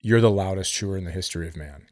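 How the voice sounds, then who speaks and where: A man co-hosting a podcast, telling gross stories in a lively, joking way while getting over being sick. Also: deep voice, racing, dry recording, studio quality. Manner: animated, graphic storytelling with playful teasing. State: recovering from illness, sore ribs. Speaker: podcast co-host. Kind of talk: casual comedic chat.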